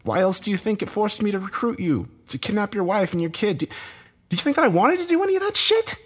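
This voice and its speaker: A man's voice: high voice, lively intonation, fairly quick, slightly rough, voice pushed up. A man voicing a desperate, bitter man in a dramatic story, agitated, urgent and given to swearing. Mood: explaining, frustrated. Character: Josh, desperate bitter man, cornered game loser.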